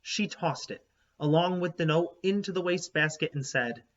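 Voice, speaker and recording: A man reading a children's book aloud like a teacher, in an animated, emphatic storytelling way with asides to young listeners. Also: on the high side, moderate pace, dull audio.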